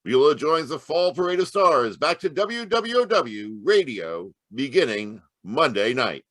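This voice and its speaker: A man's voice: medium pitch, sing-song, measured pace, a bit bright. A man acting a theatrical, promotional announcer in the style of 1940s radio. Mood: grand, promotional. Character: showy male old-time radio network announcer. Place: close dry sound, remote live performance.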